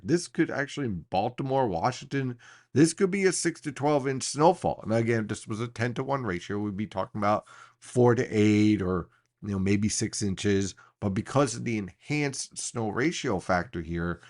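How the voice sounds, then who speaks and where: A man presenting an informal weather briefing as a forecaster, explaining things and stressing key points. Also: fairly low pitch.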